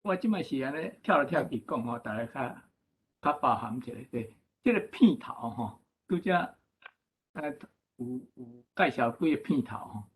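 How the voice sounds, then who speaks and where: A man with a Taiwanese accent sharing informal comments at a meeting, halting and adding frequent tag particles to his phrases. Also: medium pitch, expressive. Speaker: discussion participant giving feedback.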